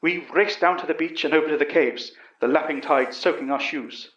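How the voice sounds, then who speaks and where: A man playing a calm, commanding professor, giving measured, literary first-person narration of a horror story. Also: a little high, lightly acted. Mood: grave storytelling. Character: calm, commanding British professor recounting a monster investigation. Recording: dull, roomy recording.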